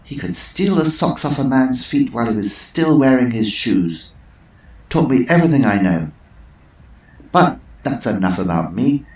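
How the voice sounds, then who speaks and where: A man's voice: mid-range voice, expressive, moderate pace, a little mellow, pitched above the performer's own voice, lightly acted. A man voicing a sly old woman who talks in a dry, knowing, tart way. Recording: roomy echo.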